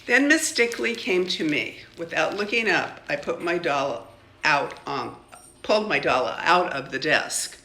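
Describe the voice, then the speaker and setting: An older woman, a grandmother, reading a children's story aloud in an expressive, deliberate way. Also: sits low, reverberant.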